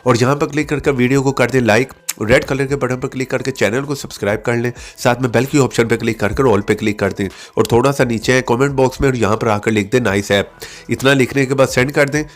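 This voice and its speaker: Male tech tips presenter, mid-pitched, instructional step-by-step narration with repeated check-in tags. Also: steady tone, fast talker. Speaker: tech tips video host. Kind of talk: app tutorial narration.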